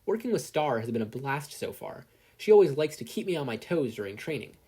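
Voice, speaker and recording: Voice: medium pitch, sing-song, fairly quick, mellow, slightly rough, pitched above the performer's own voice. A performer voicing a nervous, earnest teenage boy hero trainee in an audio story. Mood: calm confidence. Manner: anxious, earnest, rambling questions.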